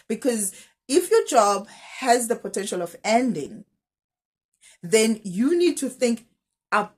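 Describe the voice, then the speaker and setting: Husky woman giving advice. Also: mid-range voice, a little mellow. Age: 30s. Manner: direct, advising, piles up rhetorical questions. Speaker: teacher and finance vlogger. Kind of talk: casual money-advice vlog.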